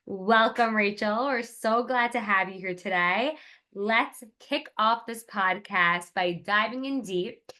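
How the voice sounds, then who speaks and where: A woman hosting a podcast, reading a prepared introduction and asking measured questions. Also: medium pitch, bright, forceful tone. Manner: measured, scripted-sounding questions. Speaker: podcast host. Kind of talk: podcast interview.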